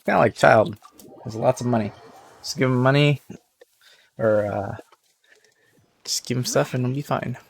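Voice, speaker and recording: A man's voice: mid-range voice, moderate pace, vocal fry, pauses often, breathy edge. A man giving casual, offhand, slangy gameplay commentary as a streamer. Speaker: game streamer.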